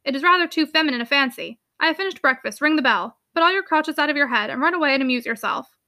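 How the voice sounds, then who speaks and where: A woman's voice: high-pitched, expressive, fast, sharp tone, no echo, studio quality, exaggerated voice. A woman voicing a blustering, impatient middle-aged uncle from a storybook, brusque, scoffing and exclamatory. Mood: dismissive. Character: blustering, impatient fifty-five-year-old uncle, gruffly dismissive. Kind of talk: storybook character dialogue.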